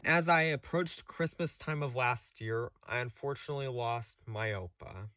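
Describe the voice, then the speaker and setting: A young man giving a solemn, formal and deliberate personal account. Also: medium pitch, bright, forceful tone.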